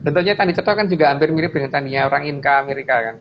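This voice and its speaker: A man's voice: a little high, very fast. A man with an Indonesian accent explaining slides informally, emphatically repeating his phrases. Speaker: guest speaker explaining slides. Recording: dull lo-fi audio with background noise.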